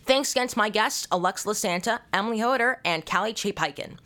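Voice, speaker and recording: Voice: medium pitch, fast talker, sharp tone. A show host giving a scripted sign-off with thanks and plugs. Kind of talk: scripted show outro, thanking guests and promoting.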